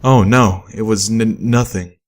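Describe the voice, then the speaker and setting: A man's voice: fairly low pitch, unhurried, natural voice. A man voicing an eager, awkward young female pony technician who is smitten and talks in a flustered, earnest way.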